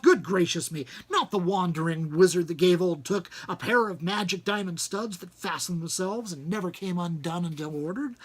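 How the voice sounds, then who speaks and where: A man reads as a little hobbit from a storybook, polite and prim. The voice is husky and excitable, easily flustered into stammering. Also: high-pitched, exaggerated voice. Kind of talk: storybook character reading.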